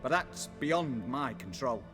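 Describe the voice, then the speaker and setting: An actor playing a frightened, testy old scholar of the occult in a video game, erudite and suspicious. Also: male voice, medium pitch, expressive, slow pace, music in the background. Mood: resigned, wary. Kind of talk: video game drama acting.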